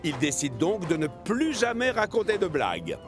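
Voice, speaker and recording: Lightly pitched man narrating a children's story. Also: huge pitch swings, moderate pace, music in the background.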